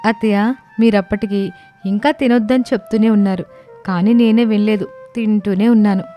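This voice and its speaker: An earnest young village daughter-in-law voiced by a woman in a cartoon story. She is respectful and openly sentimental, with a soft, warm tone in a middle pitch. Music runs underneath. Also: fairly even intonation, normal speed, natural voice. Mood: happy, nostalgic. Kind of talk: acted dialogue in animated comedy story.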